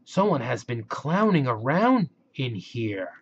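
A man's voice: medium pitch, very animated, slow pace, muffled recording, slight background noise. A man voicing a brainy, inquisitive young female cartoon sleuth in a storybook. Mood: thoughtful.

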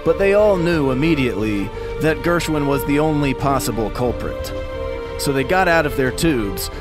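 An American man with a fairly high voice narrates a story for children. He explains things conversationally, as if to young listeners, and music plays clearly behind him. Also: expressive, moderate pace. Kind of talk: scripted storytelling narration for kids.

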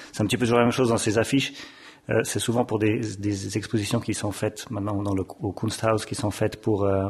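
A man sits at a desk on a lecture-hall stage, talking into a desk microphone. He speaks haltingly, filling gaps with "euh" while he searches for the right terms. His voice sits low and has a husky edge. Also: a little mellow. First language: French. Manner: hesitant, often searching for words with many fillers. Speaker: graphic designer giving a lecture. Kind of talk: conference talk.

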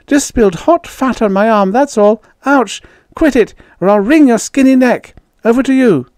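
A man's voice: very high voice, quick pace, mellow, no echo, studio quality, voice pushed up. A man voicing a plucky, snappish young woman space settler in an audiobook, breathless and babbling. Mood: babbling, covering up. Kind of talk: science fiction audiobook character voice.

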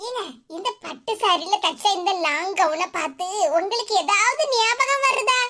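A woman's voice: extremely high pitch, moderate pace, bright, forceful tone. A woman playing a mischievous young wife who schemes in sly asides. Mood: coaxing.

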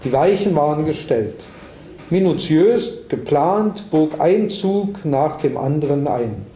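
A man's voice: on the high side, animated, slow, mellow. A man reading prose aloud at a literary reading, recorded in a roomy space. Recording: roomy echo, faint background noise.

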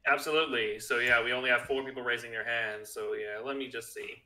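A man with a bright voice of medium pitch co-hosts a panel. His talk is casual and conversational. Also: steady tone, fast, rough recording. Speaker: panel co-host. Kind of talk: casual chat.

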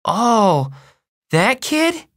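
A narrator voicing an excitable, whiny second-grade boy in an audiobook. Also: mid-range voice, drawn-out words, pitched above the performer's own voice. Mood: excited, wide-eyed. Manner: whining, exclaiming, childish. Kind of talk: audiobook character voice.

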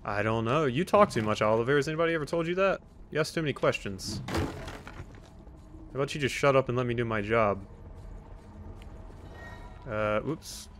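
A man with a mid-pitched voice gives casual commentary as a let's play host. He is wry and teasing, often talking back to the game's characters. Faint game noise sits behind him. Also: lively intonation, moderate pace, long pauses, a bit bright. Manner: reads on-screen text aloud. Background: video game sounds.

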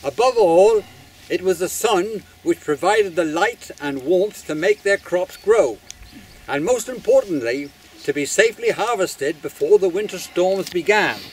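An older man reading a prepared ceremonial speech outdoors in a formal, measured, declamatory way. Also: high-pitched, expressive. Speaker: master of ceremonies, society chairman. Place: open hilltop outdoors. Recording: outdoor recording with faint background noise.

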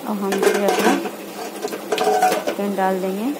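A woman hosting a home cooking video, calmly explaining each step to her viewers in a home kitchen. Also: medium pitch, unhurried. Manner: calm, instructive, addresses viewers as friends. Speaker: cooking channel host. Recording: loud kitchen noise. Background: frying sizzle and stirring pots.

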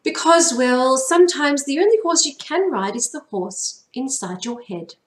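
A woman's voice: high voice, lively intonation, moderate pace, recorded from a distance, voice pushed up. A fond but firm mum played by a woman reading a storybook scolds with emphasis. Her voice is slightly raised, in an echoey room.

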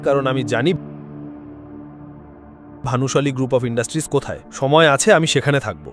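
A man's voice: mid-range voice, normal speed, lightly acted. A man voicing a proud, guarded young man who speaks curtly and reluctantly in an audio drama. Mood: cold, firm. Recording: music under the voice.

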